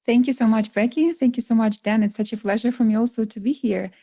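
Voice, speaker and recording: A woman from Ukraine speaks with a Ukrainian accent, her English careful and earnest. Her voice is mellow and relaxed rather than bright. The sound is close and dry, with no room around it. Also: on the high side, quick pace, no room sound. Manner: earnest, careful non-native phrasing. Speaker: podcast producer. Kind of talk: podcast guest telling her story.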